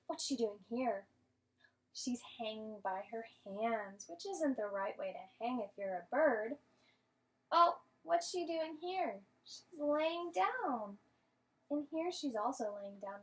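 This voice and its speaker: Lady teacher reading a picture book to little kids, highish voice, expressive, explains stuff patiently. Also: rough recording. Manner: expressive, questioning, patient explaining to young children. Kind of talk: interactive storybook read-aloud to children.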